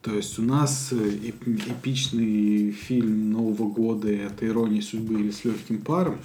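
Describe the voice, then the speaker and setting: A man from Russia co-hosting a podcast, talking in a thoughtful, halting way with many fillers. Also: on the low side, fairly even intonation, darkish tone, slight background noise. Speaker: podcast co-host. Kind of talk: casual conversation.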